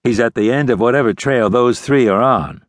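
A man's voice: mid-range voice, moderate pace, no echo, studio quality, voice pushed up, close to an everyday voice. A man voicing a remorseless Old West ranger in a western audiobook, terse, unruffled and coolly threatening. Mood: matter-of-fact. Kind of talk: western audiobook character acting.

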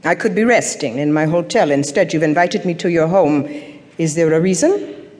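An actress playing a haughty, sardonic middle-aged African mother of a dictator in an audio drama, speaking in measured, cutting phrases. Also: low-pitched, a little mellow, lightly acted. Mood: cool probing. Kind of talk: audio drama stage acting. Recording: reverberant theatre-like hall.

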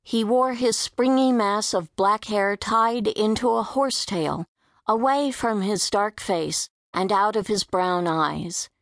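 A woman reading a scripted story as an audiobook narrator, in a measured, careful manner. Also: mid-range voice, dry recording.

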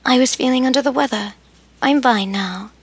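An anxious, outspoken young sister with a soft, breathless voice that rises high, as a woman reading a storybook puts her on. The voice is pitched above an already light woman's tone. It sits close and dry, with no room sound. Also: moderate pace, husky, dry recording. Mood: earnest.

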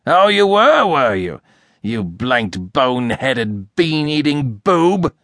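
A man's voice: mid-range voice, expressive, fast talker, fairly bright tone, no room sound, studio quality. A man reading a storybook, voicing an irascible, sarcastic, blustering older rich American man who hectors explosively. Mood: furious outburst. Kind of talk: audiobook character acting.

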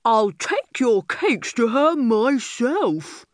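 A woman voicing a massive, greedy bully of a bear in a storybook, gruff and domineering. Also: on the high side, sing-song, very slow, no room sound, studio quality, exaggerated voice. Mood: suspicious, scheming.

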